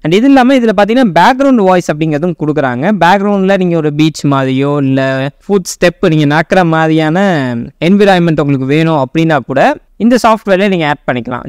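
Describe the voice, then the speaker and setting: A young man with an Indian Tamil accent explaining software informally as a tech YouTuber, mixing in English words. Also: on the high side, smooth, no room sound, studio quality. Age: young adult. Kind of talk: software tutorial narration.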